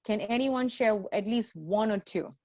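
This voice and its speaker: A woman's voice: mid-range voice, a little slow. A woman teaching a scripture class to a group, explaining in a didactic way with repeated phrases and rhetorical questions.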